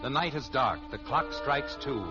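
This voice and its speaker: A man's voice: mid-range voice, animated, a little slow. An American man announcing and narrating a 1950s radio show from a script. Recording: muffled old radio recording with music.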